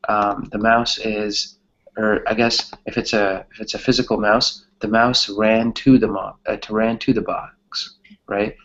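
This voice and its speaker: A man's voice: on the low side, level tone, unhurried, fairly bright tone, highs cut off, slight background noise. A man teaching a conversational English grammar class, often checking in with a questioning tag as he explains.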